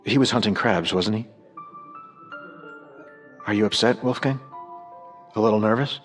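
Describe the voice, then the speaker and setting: Guy acting as a patient police detective in a radio drama, low voice, gently coaxing, faint music behind. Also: moderate pace, natural voice. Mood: gentle, probing. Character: gentle male police investigator questioning a frightened child.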